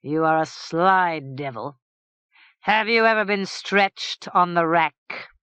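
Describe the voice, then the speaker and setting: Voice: medium pitch, lively intonation, slow pace, pushed and bright, clear, resonant tone, dry recording. An audiobook narrator voicing a harsh, menacing middle-aged king who speaks in cold, curt threats.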